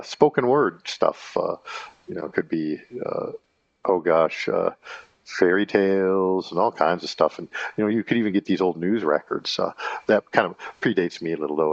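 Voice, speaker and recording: A man in his fifties talking in a casual rambling monologue, halting and full of fillers and self-corrections. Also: low-pitched, no echo, dull audio. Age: 50s. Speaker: channel host.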